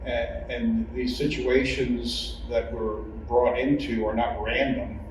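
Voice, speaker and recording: A middle-aged or older man, a former church leader, giving reflective personal testimony in a humble, hesitant manner full of pauses. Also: medium pitch, little pitch movement. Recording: echoey room, distant mic, clear noise.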